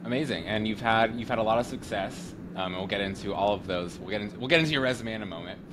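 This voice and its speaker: A man's voice: lowish voice, background noise. A man hosting an interview podcast, chatting casually and often restarting his sentences. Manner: friendly, halting with many restarts. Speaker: podcast host.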